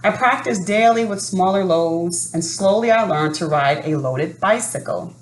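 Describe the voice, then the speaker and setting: A woman reading a children's picture book aloud in an expressive, unhurried manner. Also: fairly low pitch. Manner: expressive, unhurried, adds asides to listeners. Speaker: storybook reader. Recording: roomy echo, faint background noise.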